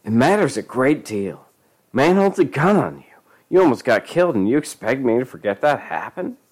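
A man's voice: medium pitch, big pitch swings, moderate pace, voice pushed up. A man voicing an angry, overbearing middle-aged mother who scolds relentlessly in a storybook reading. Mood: indignant. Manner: scolding, indignant, forceful.

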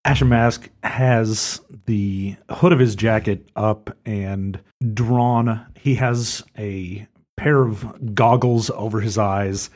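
A man at a tabletop game, describing his character's actions in a slow, halting way. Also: lowish voice, steady tone, darkish tone. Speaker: player.

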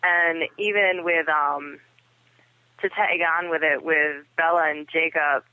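A dry, thin phone line carries a man with a fairly high voice. He is a guest on a podcast, talking through his opinions in a casual way. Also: fairly even intonation, takes it slowly, dry recording. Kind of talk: casual discussion. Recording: dry, tinny telephone line.

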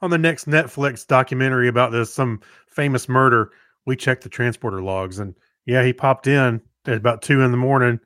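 A man's voice: medium pitch, brisk, fairly bright tone, dry recording, clean studio sound. A man co-hosting a podcast, talking in a casual, conversational way. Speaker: podcast co-host.

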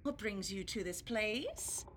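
A woman's voice: mid-range voice, expressive, slow pace, a bit bright, slight background noise. A woman playing a sinister village elder and secret witch in a fantasy audio drama, condescending and falsely soothing. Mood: falsely sweet.

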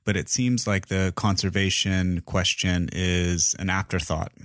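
A man hosting an interview podcast, putting questions conversationally and haltingly, repeating words as he forms his thoughts. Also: deep voice, fairly even intonation, dry recording, clean studio sound.

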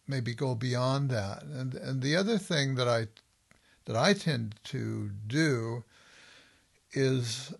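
Gravelly-voiced man hosting a thoughtful interview. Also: mid-range voice. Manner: thoughtful, halting with restarts. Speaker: podcast host. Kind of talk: conversational interview.

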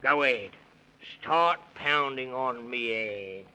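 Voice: medium pitch, animated, slow pace, heavy background noise. A man playing a jolly, resourceful tusked animal in a 1930s children's radio serial, hearty and slangy in rhyme. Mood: instructing.

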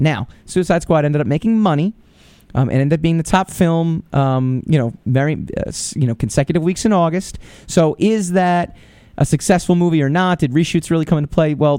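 A man's voice: a little high, mellow, dry recording. A man hosting a podcast, giving casual, opinionated commentary with lots of fillers and restarts. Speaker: podcast host.

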